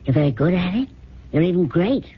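An actress in a radio drama playing an easygoing secretary and mistress, dryly teasing and skeptical. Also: female voice, on the low side, sing-song, a little fast, slight background noise. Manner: dry, teasing, familiar. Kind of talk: 1970s radio drama acting. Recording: muffled old radio broadcast.

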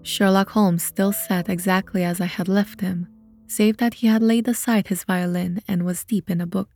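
A woman's voice: mid-range voice, steady tone, normal speed, a little mellow. A woman reading scripted narration for an audio drama as its narrator. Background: music.

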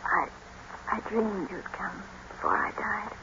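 Feverish young gentlewoman, unhurried, wistful, passionate, theatrical 1950s radio drama, noisy dull recording. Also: mid-range voice, huge pitch swings. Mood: dying, yearning. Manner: theatrical, yearning, pleading. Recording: noisy, muffled old radio broadcast.

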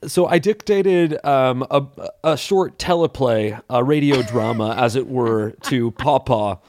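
A man's voice: medium pitch, measured pace, a little mellow, dry recording, clean studio sound. A man doing improvised comedy banter on a fantasy role-play podcast.

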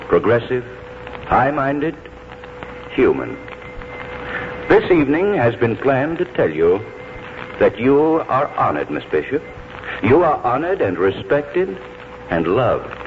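An actor playing a dignified college president giving a measured, formal tribute in 1940s radio drama style. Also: male voice, lowish voice, big pitch swings. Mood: solemn, admiring. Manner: oratorical, measured ceremonial address. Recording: muffled old radio broadcast, faint noise.

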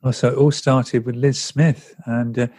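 A man's voice: medium pitch, little pitch movement, moderate pace, dark tone, slightly rough. A man hosting a podcast interview, chatty and hesitant, with many fillers. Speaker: podcast host.

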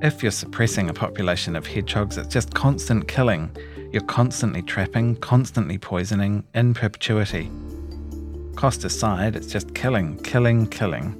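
A man with a New Zealand accent reads a scripted long-form news story in a measured, articulate storytelling delivery. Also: fairly low pitch, music in the background. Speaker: reporter reading his own long-form article. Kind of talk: scripted narration of a feature story.

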